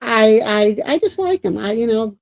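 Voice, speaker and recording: A middle-aged woman co-hosting a paranormal talk show, chatting casually and loudly with a projecting voice, rambling with many restarts and self-corrections. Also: a little high, expressive, moderate pace, creaky, no echo. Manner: self-described talker, loud and projecting. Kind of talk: casual livestream chat.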